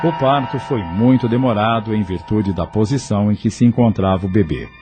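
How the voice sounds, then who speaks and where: A man's voice: on the low side, brisk, loud music behind the voice. A man with a Brazilian accent giving scripted narration for an audio novel.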